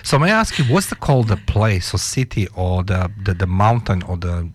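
Rambling low-voiced radio host. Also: male voice, moderate pace. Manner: rambling, animated, fumbling phrasing. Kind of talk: talk radio interview. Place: radio studio.

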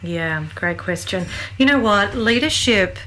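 A woman in her sixties, born in Greece, speaks as a guest on a radio show. She talks warmly and passionately, gushing about people. A faint hiss lies under her voice. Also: mid-range voice, expressive, measured pace, low-quality recording. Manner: warm, passionate, effusive. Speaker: guest, leadership publisher. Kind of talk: remote radio interview.

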